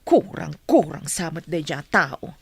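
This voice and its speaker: Woman acting a nagging, animated scheming mother. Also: sits low, measured pace, creaky, slightly rough, no room sound, heavily acted. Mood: scornful, advising. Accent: Ilocano. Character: middle-aged mother, nagging, scheming, sharp-tongued. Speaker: actress in radio comedy drama. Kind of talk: Ilocano radio comedy drama acting.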